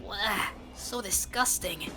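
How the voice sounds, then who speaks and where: A woman playing a jumpy, hot-tempered teenage boy gangster in an audio drama, excitable and blurting. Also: medium pitch, slow pace, poor audio. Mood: disgusted. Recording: background music clearly under the voice.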